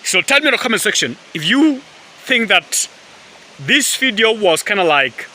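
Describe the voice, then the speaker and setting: Swahili-native male vlogger, rambling and filler-heavy, keeps saying guys, sharp edge to the voice. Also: sits high, big pitch swings, moderate pace, a little hiss or hum, low-quality recording. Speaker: YouTube vlogger. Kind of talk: casual talk to camera. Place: outdoors in a forest by a river.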